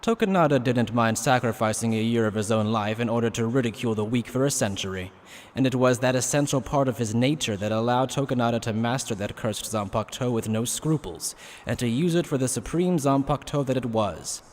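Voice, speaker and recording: A man's voice: mid-range voice, brisk, long reverb tail, slight background noise. A man narrating a dramatic scripted fantasy action story.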